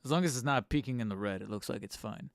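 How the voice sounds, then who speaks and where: A man hosting a live stream from home on a studio microphone, a little hoarse and tired, rambling casually with fillers and restarts and poking fun at himself. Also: fairly low pitch, fast talker, no room sound. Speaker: channel host streaming live. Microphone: studio microphone close up.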